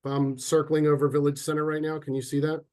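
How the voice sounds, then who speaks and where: A man with a head cold and a slightly stuffy voice, giving a relaxed, chatty online presentation with frequent ums and restarts over a computer mic. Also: on the high side, fast. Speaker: sales and marketing officer presenting a webinar. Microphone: computer mic on a video call.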